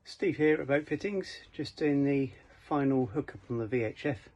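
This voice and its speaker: A man's voice: mid-range voice, normal speed, darkish tone. A man presenting an informal hands-on DIY walkthrough, sometimes hesitant and feeling for words as he works. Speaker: presenter of a boat fitting shop's how-to videos. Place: aboard a small cabin motorboat. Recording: dull, lo-fi camera sound with faint noise.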